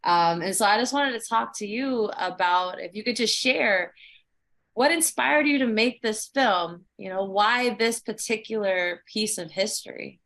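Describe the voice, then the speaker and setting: A woman's voice: medium pitch, measured pace, a bit bright. Enthusiastic woman moderating a panel. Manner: enthusiastic, hesitant with ums. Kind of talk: panel conversation.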